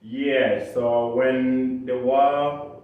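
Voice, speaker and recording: A young man raised in Uganda, answering interview questions in a halting way with many pauses and restarts, recorded from a distant mic in an echoey room. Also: medium pitch, little pitch movement. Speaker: interview guest. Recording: echoey, distant, dull sound.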